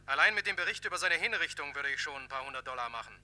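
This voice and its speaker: Cynical newspaper reporter: highish, very bright cutting voice, hard-boiled radio drama delivery. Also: male voice, animated, rapid-fire. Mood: mock indignant. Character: brash, cynical, sensation-hungry newspaper reporter. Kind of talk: 1950s German radio crime play acting.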